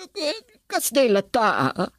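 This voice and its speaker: An actress playing a doting, scheming middle-aged auntie in a radio drama, with a husky voice. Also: female voice, high-pitched, big pitch swings, measured pace, no echo. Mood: indulgent.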